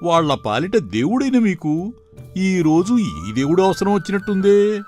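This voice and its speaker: An actor playing a polite, chatty village temple priest who gently probes people in a moral story. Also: male voice, high voice, sing-song, a little slow, soft background music. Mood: animated, respectful. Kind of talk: dramatised moral story dialogue.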